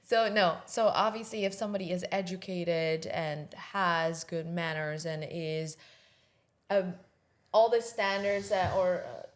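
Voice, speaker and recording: A woman's voice: lowish voice, unhurried. Laughing woman in casual chat. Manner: animated, laughs at own slips.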